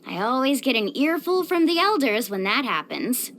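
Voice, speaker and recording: A woman voicing an upbeat, caring, sporty young surfer in a video game, speaking in a peppy, expressive, friendly way. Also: sits high, moderate pace, slight background noise, heavily acted. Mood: cheerful, chatty. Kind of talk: scripted video game voice acting.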